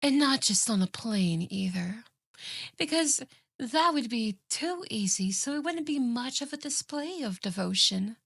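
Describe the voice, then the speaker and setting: Obsessive anime fox girl, played by a woman: high-ish, dry close sound, coaxing, boastful, theatrically devoted, ASMR roleplay. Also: lively intonation, unhurried, gravelly, a bit bright, slightly rough, no echo, exaggerated voice. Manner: doting, smug, coaxing, theatrical.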